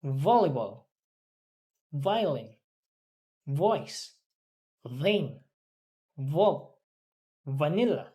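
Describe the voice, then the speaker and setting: An English student carefully reading words and sentences aloud, repeating them deliberately as in a pronunciation drill. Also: mid-range voice, big pitch swings, warm tone. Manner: deliberate, repeating after a teacher.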